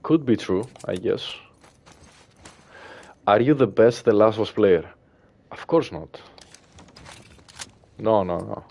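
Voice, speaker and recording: A man's voice: lowish voice, takes it slowly, creaky, long pauses. A man from Greece with a Greek accent, chatting casually with his livestream viewers while he plays. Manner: relaxed, hesitant, with fillers and soft laughs. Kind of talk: casual livestream chat reading viewer messages.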